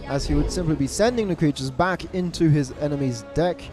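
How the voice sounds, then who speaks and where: High-voiced male esports caster. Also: over music. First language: English. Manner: quick self-corrections, stressed repeated words. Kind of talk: live game commentary. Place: event venue abroad.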